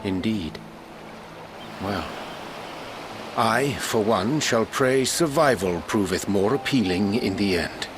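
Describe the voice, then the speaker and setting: An actor playing a solemn, stately man who speaks formal, archaic high speech in a video game. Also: animated, takes it slowly, pauses often, background noise. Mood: courteous, approving. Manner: formal, archaic, oratorical phrasing. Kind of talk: video game cutscene acting. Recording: voice over game music.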